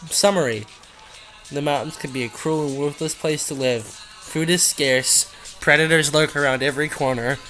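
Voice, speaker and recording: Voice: mid-range voice, fairly bright tone, poor audio. A host casually reading fan fiction aloud and rambling off into irreverent, giggly side comments. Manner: giggly, irreverent, easily sidetracked.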